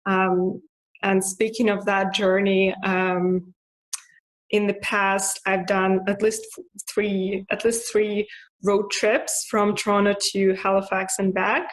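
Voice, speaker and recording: Russian-accented woman, hesitant and flat. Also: medium pitch, slow, rough recording. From Russia (Moscow). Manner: hesitant, many fillers and restarts; laughs softly now and then. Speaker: interviewee. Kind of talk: casual personal monologue.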